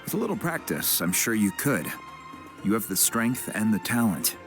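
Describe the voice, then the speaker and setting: A man playing a calm, helpful tribesman in a video game, explaining things in a measured, reassuring way. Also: fairly low pitch. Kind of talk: English video game voice acting. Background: music.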